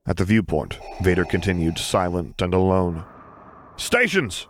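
A man with a low voice narrates an audiobook. His reading is scripted and dramatic, recorded with light noise behind it. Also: flat delivery, normal speed, a little mellow. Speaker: audiobook reader.

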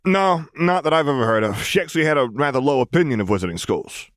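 A cheerful, self-satisfied male vampire secretary in a read-aloud story , as a man puts him on. He speaks noticeably higher than the reader's own voice. He teases and gloats in a light, pleased way. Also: lively intonation, fast talker, fairly bright tone, no echo, clean studio sound. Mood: curious. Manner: pleased with himself, teasing.

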